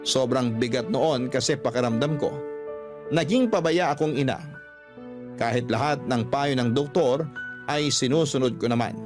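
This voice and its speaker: A man reading a first-person confessional letter as scripted radio-style storytelling. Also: mid-range voice.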